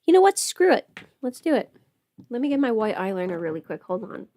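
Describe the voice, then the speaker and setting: A woman's voice: on the high side, big pitch swings, fairly quick, mellow, dry recording. A young adult woman chatting casually to livestream viewers in a rambling, informal way, often interrupting herself. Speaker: livestreamer and audio performer. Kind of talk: casual livestream chat while putting on makeup.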